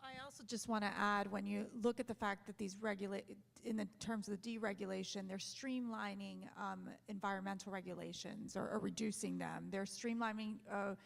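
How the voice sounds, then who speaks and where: A woman's voice: mid-range voice, fairly even intonation, fairly quick, creaky, hardly any pauses. A woman on a conference panel making eager policy arguments, keen to jump ahead. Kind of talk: panel discussion.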